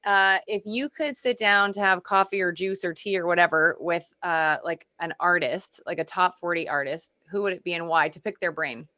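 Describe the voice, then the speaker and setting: Woman hosting, medium-pitched voice. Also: normal speed, few pauses, sharp tone. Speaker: show host, interviewer. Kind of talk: casual entertainment interview hosting.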